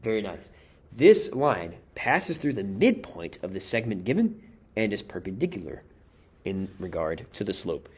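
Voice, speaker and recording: A man's voice: on the low side, big pitch swings, moderate pace. A man teaching math in a casual, chatty way, walking through worked examples while his voice is wearing out. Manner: casual, chatty, addresses viewers as guys. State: losing his voice. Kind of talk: worked-example exam review.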